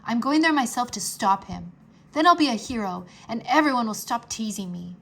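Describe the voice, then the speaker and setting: Woman reading as a young wizard kid, earnest n pleading, bit high, rushes his words, storybook style. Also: animated, creaky, a bit bright, heavily acted. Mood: determined. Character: earnest young boy wizard, wistful and determined. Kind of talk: children's storybook character voice.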